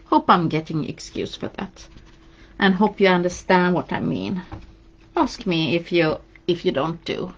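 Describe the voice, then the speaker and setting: A woman's voice: on the low side, a little slow, muffled recording. A woman with a Swedish accent, a Swedish native speaker, giving a live craft tutorial and chatting encouragingly while she paints. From Sweden. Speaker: craft demonstrator. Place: indoors at a craft table.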